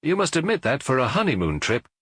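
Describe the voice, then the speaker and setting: A male narrator puts on a sly husband under police questioning in a detective audiobook. He is offhand and condescending, with a raised, swinging pitch and a husky edge. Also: huge pitch swings, fast talker, a bit bright, no room sound, exaggerated voice.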